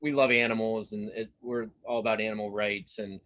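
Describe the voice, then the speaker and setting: A man's voice: fairly low pitch, flat delivery, a bit bright. A middle-aged man speaking as an interviewed cartoonist in a casual, earnest way, often interrupting himself and starting over. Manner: halting, self-interrupting, earnest.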